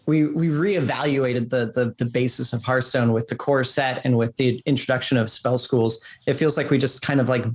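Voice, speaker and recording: A man talking casually as an interviewed game designer, in an informal, halting way with lots of filler words. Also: medium pitch.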